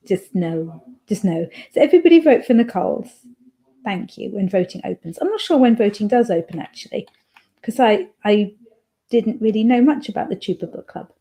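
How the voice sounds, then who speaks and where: A woman's voice: medium pitch, mellow. A woman hosting a writing livestream, chatting casually and playfully as she reads chat messages aloud.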